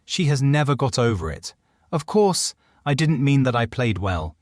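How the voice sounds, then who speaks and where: A man's voice: medium pitch, very animated, normal speed, no room sound, studio quality. A man voicing a naive, dreamy young Edwardian lady in a storybook, speaking politely and earnestly. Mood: earnest explaining. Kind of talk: audiobook character dialogue.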